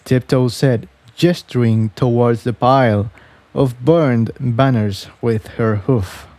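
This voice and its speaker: A man's voice: medium pitch, fairly even intonation, slow pace, darkish tone, dry recording. A man reading a scripted story aloud as a narrator. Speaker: audiobook narrator.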